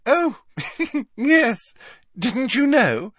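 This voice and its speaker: A man's voice: high-pitched, sing-song, moderate pace, a bit bright, no room sound, voice pushed up. A man voicing a prim, sweetly smug teenage schoolgirl witch with pointed emphasis, in a storybook reading. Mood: gloating.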